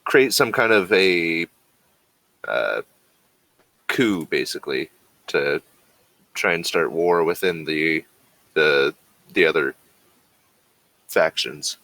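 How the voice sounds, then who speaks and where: Slow, low-voiced man as game master. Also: lively intonation, bright, forceful tone, low-quality recording. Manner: halting, thinking aloud. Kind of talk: improvised game master answers to players.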